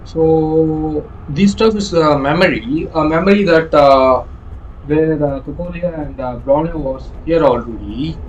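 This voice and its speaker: Hesitant higher-pitched man doing game commentary. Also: muffled recording, a little hiss or hum. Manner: hesitant, halting delivery with many uhs. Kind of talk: unscripted gameplay commentary.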